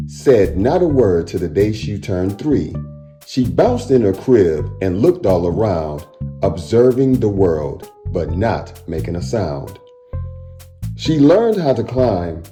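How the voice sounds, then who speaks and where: Male kids' read-aloud host: unhurried, very expressive, playful, talks directly to the child audience. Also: on the low side, echoey room. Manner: playful, addresses listeners directly with questions. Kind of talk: animated children's read-aloud and casual chat to young viewers.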